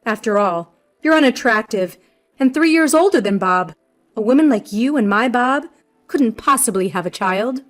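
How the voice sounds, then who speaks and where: A woman's voice: a little high, expressive, normal speed, natural voice. A woman voicing a spiteful, smug older mother-in-law in a story, with a haughty, accusing, emphatic delivery. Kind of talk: dramatized storytelling.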